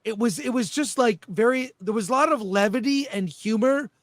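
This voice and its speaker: High voiced guy hosting a chat show, excited halting storyteller, dry sound. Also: no room sound. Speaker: host. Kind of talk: casual panel chat.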